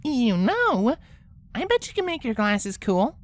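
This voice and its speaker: A woman's voice: on the high side, sing-song, takes it slowly. A woman voicing a polite, bookish young female cartoon pony princess, speaking with patient, pedantic precision. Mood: reassuring. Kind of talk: character acting in a fan-fiction story reading.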